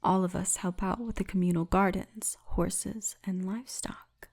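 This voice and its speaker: A woman's voice: medium pitch, steady tone, measured pace, darkish tone. A woman playing a big, confident female orc militia officer who teases as she guides, in an ASMR roleplay with formal phrasing. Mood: proud, inviting. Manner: teasing, confident, formal turns of phrase, often breathy murmur.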